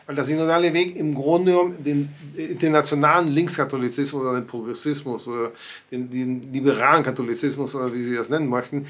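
A man's voice: medium pitch, a bit bright. A man in his sixties, a native Spanish speaker, giving conversational commentary to camera from his home study, with frequent fillers and restarts. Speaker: commentator on church affairs. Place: home study with bookshelves and pictures.

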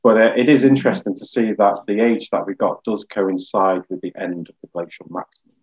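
Low-voiced man on a muffled video call. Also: brisk, darkish tone. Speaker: guest geologist. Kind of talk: informal scientific explanation in a webinar. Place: small plain room indoors.

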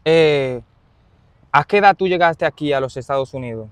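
A man conducting a casual interview outdoors, phrasing his questions in a halting, roundabout way. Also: fairly high pitch, clear, resonant tone, dry recording. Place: outdoors in an open park.

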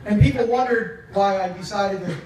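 Best man, young adult male, high-pitched voice, teasing storyteller with halting pauses, heard from a distance in a very echoey tent. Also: a little mellow. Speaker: best man, brother of the groom. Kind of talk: wedding toast, humorous storytelling. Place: large wedding reception tent. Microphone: handheld microphone over speakers, filmed from a few metres away. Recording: echoey, distant, noisy event recording.

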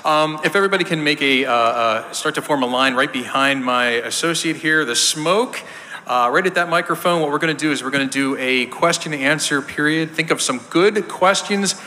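A man moderates a live panel in a casual way. His voice is rather high and forceful, echoing through a hall with some background noise. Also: a little fast. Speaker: panel host. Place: large indoor convention stage. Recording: echoey hall PA, noisy crowd.

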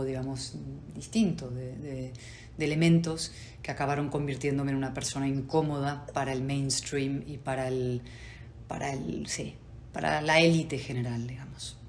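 A woman's voice: a bass voice, darkish tone, slight background noise. A woman in her forties, from Spain and raised in Buenos Aires and London, answering interview questions over a video call in an articulate, assertive way. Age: 40s. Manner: articulate, assertive, measured with pauses. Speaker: politician guest. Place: home study with bookshelves.

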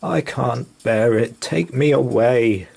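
A man's voice: medium pitch, takes it slowly, vocal fry, darkish tone, breathy edge, pitched above the performer's own voice, exaggerated voice. A man voicing a mean old male miser in a storybook, grumbling and emotional. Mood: distressed.

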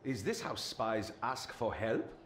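A roguish young adventurer in a video game. He is casual, wry and cheeky, with an animated pitch. There is a roomy echo and faint noise behind the voice. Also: slow. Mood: cheeky, probing.

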